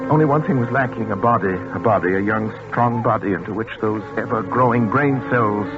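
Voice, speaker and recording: An actor playing a middle-aged, guilt-ridden surgeon father, speaking gravely in a literary, dramatic 1940s radio style. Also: medium pitch, close to an everyday voice. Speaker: actor in a radio horror play. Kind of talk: 1940s radio drama acting, first-person narration. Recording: muffled old 1940s radio recording with music.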